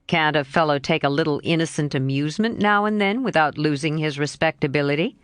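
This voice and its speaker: A woman voicing a cheerful, teasing young man in a slightly lowered, coaxing voice. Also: brisk, clean tone, no echo, studio quality, close to an everyday voice. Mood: defensive. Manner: lightly lowered, coaxing, playful.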